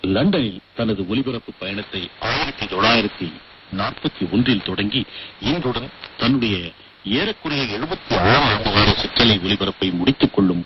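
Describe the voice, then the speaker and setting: Male radio presenter, low register, scripted documentary read, dull hissy shortwave sound. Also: expressive, moderate pace.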